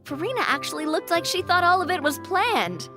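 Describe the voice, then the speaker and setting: A woman's voice: extremely high pitch, big pitch swings, brisk, over music. A woman voicing a curious, candid young woman in a video game, with a casual, breezy manner. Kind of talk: scripted video game dialogue.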